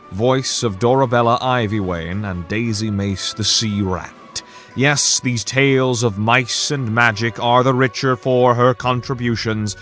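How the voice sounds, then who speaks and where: A man's voice: on the low side, fairly bright tone. A man reading a scripted introduction as a podcast announcer, in florid, theatrical storyteller narration.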